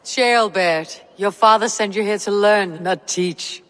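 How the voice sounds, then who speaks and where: An actress playing a proud, commanding Viking clan leader in a video game, with a measured, declamatory manner. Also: female voice, mid-range voice, fairly bright tone. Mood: dry, composed. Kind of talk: video game cutscene acting. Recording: reverberant hall with music behind.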